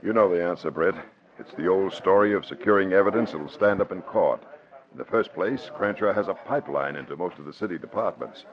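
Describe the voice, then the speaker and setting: Deep-voiced police commissioner. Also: male voice, a little fast, crowd noise. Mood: weary, explanatory. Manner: matter-of-fact, explanatory. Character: official, beleaguered male police commissioner explaining his limits. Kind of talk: 1940s radio drama acting. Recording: dull old radio recording.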